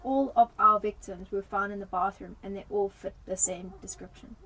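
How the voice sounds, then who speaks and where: A woman's voice: medium pitch, fairly even intonation, normal speed, bright, forceful tone, poor audio. A woman acting an inquiring, matter-of-fact police detective in a radio drama.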